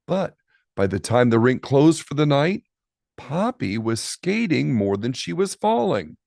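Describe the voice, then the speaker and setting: A man narrates a picture book for children in an expressive, lively style. He takes it slowly with dramatic pauses, and his voice has a gravelly creak. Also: mid-range voice, no echo, clean studio sound. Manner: dramatic pauses, drawn-out key words. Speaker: storybook reader.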